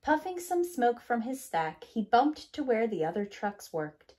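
A woman's voice: on the high side, very animated, a little slow, a little mellow, slight background noise. A middle-aged woman, a teacher, reading a children's picture book expressively indoors. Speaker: teacher, storyteller. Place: small room at home, indoors.

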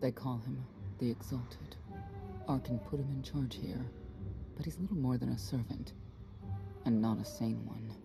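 A woman's voice: a bass voice, steady tone, a little slow, gravelly, long pauses, over music, exaggerated voice. A woman playing a stern, hard-bitten female knight in a video game, blunt and serious. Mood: grim briefing. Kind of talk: video game cutscene acting.

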